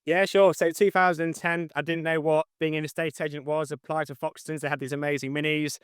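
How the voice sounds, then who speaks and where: A middle-aged man giving a casual interview as a guest, slightly monotone, speaking matter-of-factly in clipped phrases. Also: a little high, a little fast, clean tone, dry recording, studio quality. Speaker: interview guest, estate agent.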